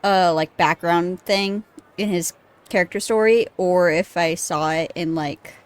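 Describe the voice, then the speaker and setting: A woman's voice: on the low side, a little slow, smooth. A woman streaming a game with casual, sweary commentary, musing aloud and poking fun at herself. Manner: sweary, self-deprecating, musing. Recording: game music behind the voice. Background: game music.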